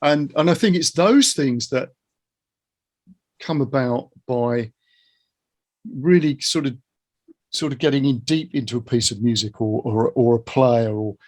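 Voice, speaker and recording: A man's voice: mid-range voice, a little slow, pauses often, darkish tone. A middle-aged man hosting a casual chat, musing informally and circling round his ideas. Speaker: interviewer, guitar teacher.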